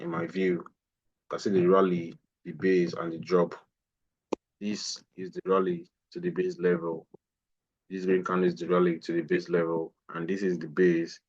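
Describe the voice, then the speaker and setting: A man's voice: sits low, slightly rough, muffled recording. A man teaching a live online trading lesson, explaining a price chart and repeating phrases as he points things out. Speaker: trading instructor.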